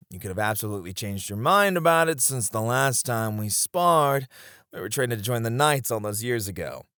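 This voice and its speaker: Man acting as a lively, teasing knight. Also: mid-range voice, no echo, clean studio sound, close to an everyday voice. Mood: playful, reminiscing. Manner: playful teasing with frequent chuckles. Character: confident teasing male knight, old comrade and sparring partner. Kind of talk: ASMR romance audio roleplay, one-sided dialogue.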